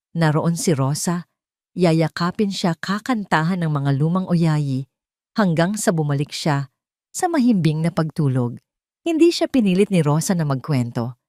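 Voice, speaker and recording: A woman with a Filipino accent reading a scripted dramatic story in a measured, solemn manner. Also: deep voice, darkish tone, dry recording, clean studio sound. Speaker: story narrator.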